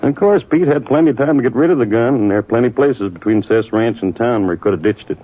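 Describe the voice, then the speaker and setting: An actor playing a plain-spoken, firm American small-town marshal in a 1950s radio western, husky and folksy. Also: male voice, medium pitch, fast talker, lightly acted. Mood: insistent. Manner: plain, folksy, clipped frontier speech. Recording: tinny, narrow-band old radio recording.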